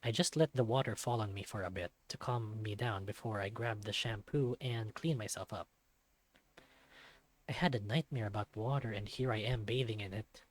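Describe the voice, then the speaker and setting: A man's voice: on the low side, moderate pace, close to an everyday voice. A man voicing a self-deprecating young male otter, narrating a visual novel in the first person with wry self-mockery. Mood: wry, subdued.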